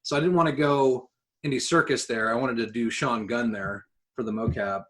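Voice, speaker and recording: A man's voice: medium pitch, fairly even intonation, normal speed, a bit bright. Opinionated, sweary man chatting casually. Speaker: podcast co-host. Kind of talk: casual podcast chat about films and games.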